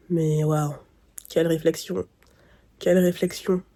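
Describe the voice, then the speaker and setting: A woman's voice: sits low, little pitch movement, takes it slowly, dark tone. A young woman vlogging casually with a blocked nose, sounding nasal and congested, rambling and thinking aloud. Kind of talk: casual vlog talk to camera.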